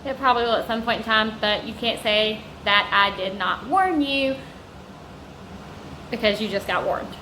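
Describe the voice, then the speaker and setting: A woman's voice: on the high side, normal speed, slight background noise. Chatty Southern woman in an echoey room. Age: 30s. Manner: chatty, rambling, warm asides to viewers. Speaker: vlogger. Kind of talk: casual sit-down chat to camera. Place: vacation home indoors.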